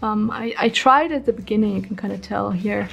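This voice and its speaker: Chatty young woman with gravelly voice. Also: medium pitch, fairly quick, a bit bright, muffled recording, faint music behind. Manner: chatty, rambling, many ums and likes. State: hoarse, slightly ill. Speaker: artist vlogger. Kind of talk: casual workspace tour. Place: small room at home.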